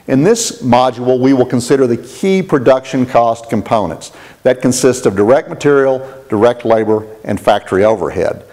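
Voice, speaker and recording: Middle-aged man giving a measured lecture. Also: medium pitch, expressive, dark tone. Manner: measured, clear explanatory delivery. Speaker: accounting instructor. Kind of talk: scripted lecture narration. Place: studio with presentation screen.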